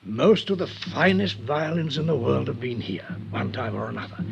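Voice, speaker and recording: An actor playing a fussy, indignant violin maker in an old-time radio drama, pompous and flustered in manner. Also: male voice, mid-range voice, animated, normal speed, gravelly, dull audio, soft background music. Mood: proud. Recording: noisy vintage radio recording with clicks.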